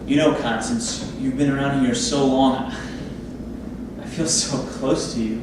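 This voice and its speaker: A man's voice: medium pitch, fairly even intonation, unhurried, natural voice. A man playing a needy, overbearing surgeon boss in a staged play reading, pushy and wheedling. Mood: creepy, confiding. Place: theatre space, recorded from audience distance. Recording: echoey room, far mic, noisy.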